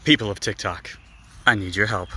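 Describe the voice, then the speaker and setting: Bright, forceful American man. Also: lowish voice, normal speed, husky. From American Bible Belt. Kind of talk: direct address to camera.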